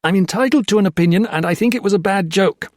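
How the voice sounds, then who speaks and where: A man reading a story voices a young officer who rants and shouts in hysterical fury. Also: sits high, quick pace, no room sound, studio quality, pitched above the performer's own voice, lightly acted. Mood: raging. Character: young British officer, feverish, furious, ranting.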